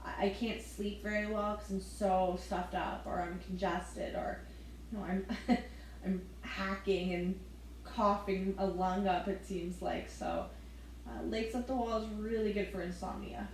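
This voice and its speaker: A woman leading a gentle yoga class, giving soft step-by-step breathing cues with the odd light laugh. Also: medium pitch, fairly even intonation, a little slow, heavy reverb, slight background noise, rough recording. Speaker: yoga instructor.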